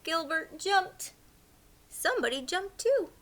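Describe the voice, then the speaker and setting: A woman reading a picture book aloud as a teacher would, animated, with hushed asides to young listeners. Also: very high voice, slow pace, background noise.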